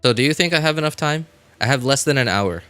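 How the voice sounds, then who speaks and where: A man's voice: mid-range voice, expressive, fast, a bit bright, smooth, no room sound, faint music behind. A man live-streaming a game with casual commentary, chatting to viewers in a rambling way, often repeating and rephrasing himself.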